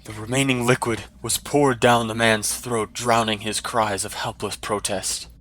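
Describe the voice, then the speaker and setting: A man's voice: lowish voice, flat delivery, unhurried, a bit bright. A man narrating a scripted fantasy story in a dramatic style.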